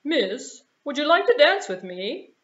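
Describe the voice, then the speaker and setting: Woman as storybook prince, young, earnest, animated swoops, puppet theater, dull home-video sound. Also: high voice, a little slow, voice pushed up, heavily acted. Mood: courteous.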